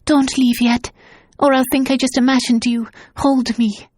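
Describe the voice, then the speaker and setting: A woman voicing a frightened young wife, husky. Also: high voice, level tone, measured pace, no echo, studio quality. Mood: pleading. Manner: soft and pleading.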